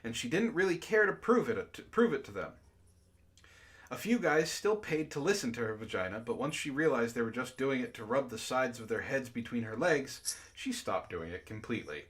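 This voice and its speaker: A man's voice: mid-range voice, lively intonation, moderate pace, fairly bright tone. A man hosting a book club stream, reading aloud and breaking into exasperated, incredulous asides in casual jokey banter.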